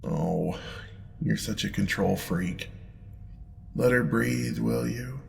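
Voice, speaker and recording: A man playing a smug, indulgent demon lord in an audio roleplay, with a deep gravelly voice and a playful, purring, drawn-out teasing manner. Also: faint music behind, exaggerated voice. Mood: teasing, seductive. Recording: long reverb, clear background noise.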